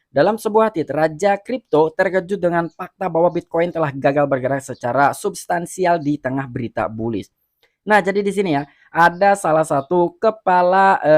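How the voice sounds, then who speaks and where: Chatty man, slightly high voice. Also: no echo, studio quality. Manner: chatty, many fillers like "gitu ya" and "nih". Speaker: crypto YouTuber. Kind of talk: casual explainer reading and commenting on news.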